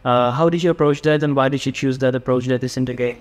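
A man's voice: mid-range voice, fairly even intonation, quick pace. A man interviewing off camera, asking short questions. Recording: distant voice with hall reverb.

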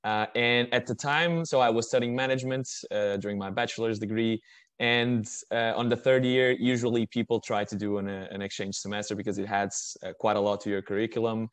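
A man's voice: fairly low pitch, steady tone, a little fast, no room sound. A man in his early twenties from Portugal, with a Portuguese accent, speaking informally as a student guest in a webinar. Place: indoors on a video call. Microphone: video call microphone.

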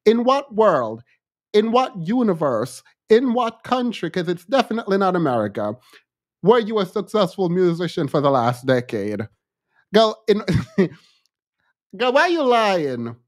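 A man in his thirties hosting a casual livestream commentary, speaking in an animated, sassy and colloquial way into a close desk mic. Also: high-pitched, unhurried. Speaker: livestream host. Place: small indoor home studio. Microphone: close desk microphone.

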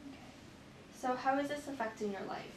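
A woman's voice: high voice, a little fast. A young woman playing a nurse in a clinical demonstration, politely talking a patient through assessment steps. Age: young adult. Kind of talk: scripted clinical role-play, explaining steps to patient. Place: small clinic exam room, indoors. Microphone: far camera microphone. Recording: echoey room, distant mic, noisy background.

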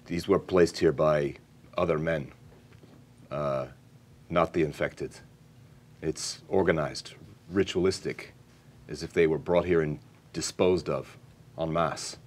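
A man playing a tabletop game, improvising in character in a halting, thoughtful way. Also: extremely low pitch, fairly even intonation, darkish tone. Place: indoor studio set.

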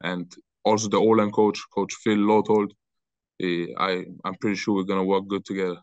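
A young man from Austria, answering interview questions in loose, halting talk on a video call. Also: sits low, little pitch movement, no echo. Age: young adult. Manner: loose, halting, repeats words. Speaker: interview guest, football player. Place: bright room indoors. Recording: dull lo-fi video call audio.

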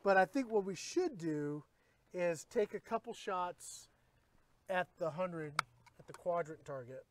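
A middle-aged man hosting, explaining casually in relaxed talk at an outdoor shooting range, recorded on a camera mic outdoors. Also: high-pitched, measured pace, dry recording. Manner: easygoing, explanatory. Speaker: host.

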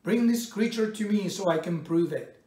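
A man reading as a proud, high-voiced cruel king. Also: normal speed, mellow, natural voice. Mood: boastful, furious. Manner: commanding, boastful. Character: proud, cruel demigod king, commanding and boastful. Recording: roomy echo, distant microphone.

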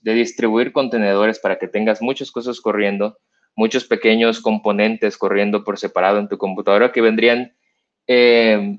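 A young man with a Mexican accent giving a live coding demo at a meetup, explaining step by step with many fillers and restarts. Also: lowish voice. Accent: Mexican Spanish. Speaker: meetup speaker. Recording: dull lo-fi stream audio.

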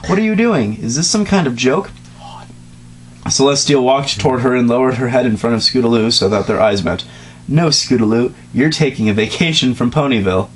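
A man's voice: mid-range voice, lively intonation, a little fast. Young man reading aloud, noisy recording. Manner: stumbles over words and breaks off to comment. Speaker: reader. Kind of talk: informal dramatic reading of fan fiction with casual asides. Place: small dim room indoors, on a couch. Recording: noisy home recording with hum.

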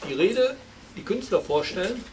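A man's voice: high voice, expressive, normal speed, a little mellow, a little hiss or hum. An older man, chairman of an art society, giving a cordial formal welcome at an exhibition. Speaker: club chairman. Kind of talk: welcome speech. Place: gallery, indoors. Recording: distant mic in room.

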